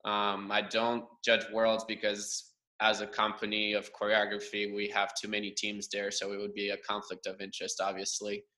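A young man from Romania, Romanian his first language, talks through his past in a relaxed interview. His voice is strikingly bright and forward, and his answers run long and earnest. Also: fairly low pitch, normal speed. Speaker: guest, cheer assistant coach. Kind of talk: casual interview over a livestream.

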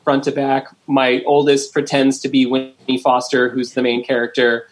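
A man's voice: mid-range voice, completely flat delivery, moderate pace. Chatty man hosting a casual podcast. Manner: chatty, many ums and likes. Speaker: podcast co-host. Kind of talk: casual chat.

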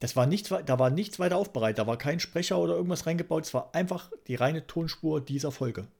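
A man around his forties co-hosting a casual podcast, halting and repeating himself, often tagging on little questions. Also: a little high, lively intonation, vocal fry, darkish tone. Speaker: podcast co-host.

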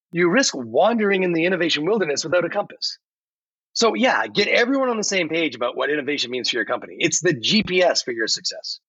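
A man playing a chatty AI podcast guest with a playful, theatrical, salesy delivery and dramatic pauses. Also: fairly high pitch, fairly bright tone, slightly rough, exaggerated voice. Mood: confident, upbeat. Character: chatty, theatrical AI chatbot guest with pirate flair. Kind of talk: scripted podcast answers full of metaphors. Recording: slightly roomy, dull lo-fi audio.

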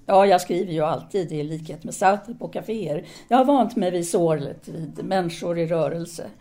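A woman's voice: fairly low pitch, dark tone, close to an everyday voice. A woman playing a composed, businesslike teacher and writer in an audio drama, speaking formally and decisively.

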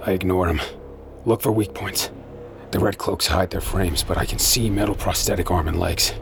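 A man's voice: low voice, normal speed, creaky, background noise. A man narrating an audio drama in the first person with terse, tense intensity, over music.

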